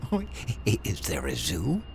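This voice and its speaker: Man acting a naive childlike president, slow pace, wildly swooping pitch, comedy audio drama, easily delighted. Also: huge pitch swings, gravelly, a little mellow, a little hiss or hum, heavily acted. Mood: naive curiosity. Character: childlike, dim, eager newly elected male US president.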